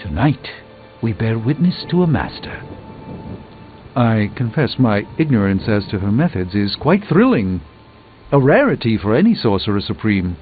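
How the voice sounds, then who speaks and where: An actor playing an erudite, dryly witty sorcerer in a video game, with pompous, theatrical, ornate delivery. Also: male voice, on the low side, big pitch swings, normal speed. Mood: admiring, grand. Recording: muffled phone-like band, music behind.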